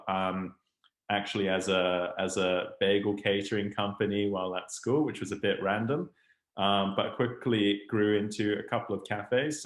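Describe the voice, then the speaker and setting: A young man in his twenties with a mixed international English accent, telling his story as a webinar guest and often pausing on ums and restarts. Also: fairly low pitch, fairly bright tone. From Hong Kong. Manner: hesitant, many ums and restarts. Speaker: guest speaker, startup founder. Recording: roomy echo.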